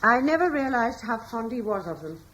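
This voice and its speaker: An actress playing a gentle, softly persuasive wife in a radio drama. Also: female voice, fairly high pitch, very animated, moderate pace, muffled recording, a little hiss or hum.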